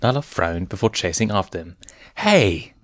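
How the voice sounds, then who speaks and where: Low-voiced man narrating a story. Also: moderate pace, no room sound. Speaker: fan fiction reader. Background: faint music.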